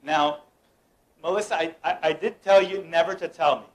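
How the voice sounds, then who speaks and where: A man's voice: on the high side, moderate pace. Playful young man running a mind-reading act. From United States. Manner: playful, teasing, directs audience. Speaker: mentalist performer. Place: room at a home gathering.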